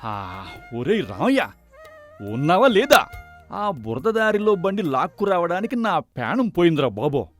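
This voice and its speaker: A man plays a famished villager calling out for food in a moral tale. He is exclamatory and pitched up, swooping widely, with music behind. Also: big pitch swings, normal speed. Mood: hungry, impatient. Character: hungry, exhausted, boisterous male village customer. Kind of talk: dramatized moral story voice acting.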